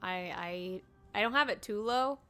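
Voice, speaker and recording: A woman with a very bright, forward voice chats casually on a game stream. She is informal and talkative, with faint music playing behind her. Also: medium pitch, measured pace. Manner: chatty, informal. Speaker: livestreamer.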